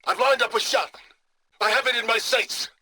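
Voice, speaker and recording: An actor playing a cold, calculating, aloof scholar in a video game, speaking formally with measured, condescending, sardonic phrasing. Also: male voice, extremely high pitch, sharp tone, heavily acted. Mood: urgent combat shouting. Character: cold, calculating, aloof male scholar, middle-aged or older. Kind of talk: scripted video game voice acting.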